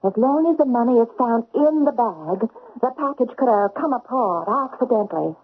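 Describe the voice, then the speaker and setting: Brisk, high-voiced frontier widow postmistress. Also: female voice, expressive, slightly rough. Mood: brisk, commanding. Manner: commanding, folksy, exclamatory. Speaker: actress in a radio drama. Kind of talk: old-time radio drama acting. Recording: muffled old radio drama recording.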